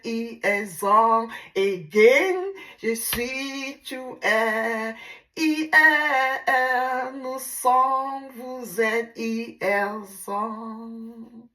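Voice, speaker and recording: Nigerian woman, Igbo first language, teacher in a casual vlog; bright, fairly high voice, drills phrases slowly and repeats them. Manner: repetitive drilling, slow deliberate pronunciation.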